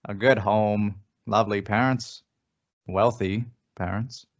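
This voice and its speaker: Lowish, coaxing ex-police chief, played by a man. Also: fairly even intonation, slow. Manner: insinuating, persuasive, folksy. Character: folksy, coaxing male former small-town police chief. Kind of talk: old-time radio suspense acting. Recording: dull, lo-fi audio.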